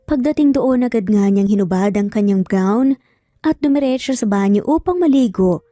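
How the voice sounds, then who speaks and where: A woman's voice: mid-range voice, expressive, moderate pace, warm tone, clean studio sound. A woman with a Filipino accent narrating a scripted romance story in a dramatic storytelling style. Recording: roomy echo, faint music behind. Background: faint background music.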